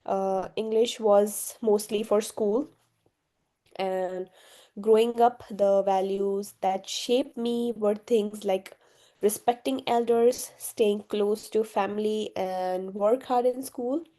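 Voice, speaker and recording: Young woman from Gujarat, native Gujarati speaker, interviewee; unhurried, warm-toned, soft-spoken with lots of ums. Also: mid-range voice, steady tone. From Gujarat, India. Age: young adult. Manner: hesitant, many fillers, soft-spoken. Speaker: interviewee, university student. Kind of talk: casual interview answers.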